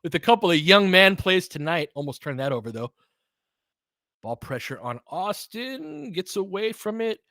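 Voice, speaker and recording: A man's voice: high voice, very animated, normal speed, pushed and bright, no room sound, clean studio sound. A man calling a basketball game live in clipped phrases, with casual, fan-like asides between the plays. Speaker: basketball play-by-play commentator.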